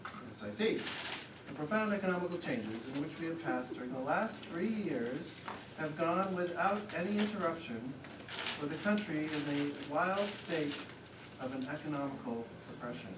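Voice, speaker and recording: An actor on stage playing a patient, dry husband absorbed in his paper, speaking in a measured, deliberate way. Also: a little high, expressive, lightly acted. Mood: exasperated patience. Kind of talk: live one-act stage play acting. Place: theater stage, picked up from a distance. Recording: echoey, distant, very noisy theater recording.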